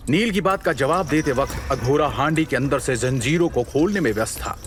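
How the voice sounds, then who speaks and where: A man's voice: medium pitch, animated, a little fast. A man giving scripted dramatic narration as the storyteller of an audio series. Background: background music.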